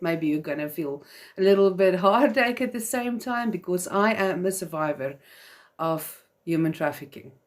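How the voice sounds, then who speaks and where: A woman's voice: medium pitch, a little mellow. A woman whose first language is Afrikaans, speaking English with a South African accent as she hosts a live video for mothers in an earnest, chatty way with frequent fillers. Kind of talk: live-stream talk to viewers.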